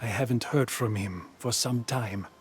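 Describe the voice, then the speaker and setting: An actor playing an earnest commander giving a grave briefing in a game. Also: male voice, mid-range voice, unhurried, a little hiss or hum, close to an everyday voice. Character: male commander, urgent and grave. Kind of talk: video game cutscene acting.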